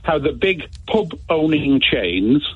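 A man's voice: fairly high pitch, sing-song, slow pace. A man calling a radio show who argues combatively and lectures the host. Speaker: caller. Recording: tinny phone line.

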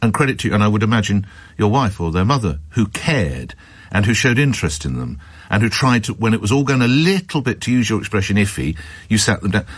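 A man hosts a phone-in from a studio, his voice low and a little husky. He speaks close to a dry microphone and challenges his callers persuasively, firing questions back at them. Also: very animated, quick pace, dry recording. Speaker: radio talk show host. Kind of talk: phone-in radio hosting. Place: radio studio.

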